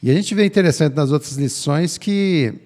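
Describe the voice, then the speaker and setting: A man co-hosting a Bible study chat, speaking calmly and agreeing often. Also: a little high, moderate pace. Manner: calm, agreeing, fills with "né?".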